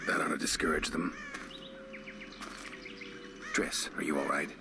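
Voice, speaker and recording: An actor playing a terse, stoic monster hunter for hire in a video game, with a dry delivery. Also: male voice, very deep voice, fairly even intonation, unhurried, noisy background.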